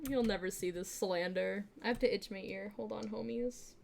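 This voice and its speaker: A young woman streamer chatting casually with her viewers, bubbly and giggly, with lots of fillers, switching between quiet asides and excited greetings. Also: moderate pace, creaky, slight background noise. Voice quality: fairly deep voice for a woman. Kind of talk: casual live-stream chat.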